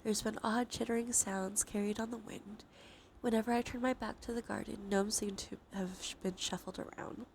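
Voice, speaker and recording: Congested woman whispering softly, creaky voice. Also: mid-range voice, steady tone, moderate pace, slight background noise. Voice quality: breathy, often dropping into whispers. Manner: gentle, soothing, chatty. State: stuffy nose, congested. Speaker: livestreamer hosting an ASMR stream. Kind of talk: cozy ASMR, casual chat while gaming. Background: soft ambient soundscape.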